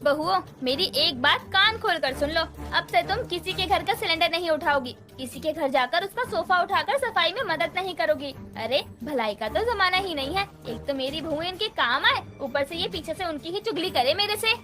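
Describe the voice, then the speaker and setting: A woman's voice: extremely high pitch, lively intonation, normal speed, hardly any pauses, over music. A woman voicing a feisty, protective mother-in-law in a Hindi moral-story cartoon, with a scolding, colloquial way of talking. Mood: indignant. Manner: scolding, colloquial, sharp-tongued. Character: feisty, protective mother-in-law of five gym-going daughters-in-law.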